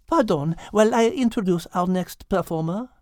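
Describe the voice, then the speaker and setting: A woman voicing a dainty, excitable little female animal hostess in a children's storybook, theatrical and gracious. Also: mid-range voice, moderate pace, dry recording, studio quality, pitched above the performer's own voice, natural voice. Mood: gracious showmanship.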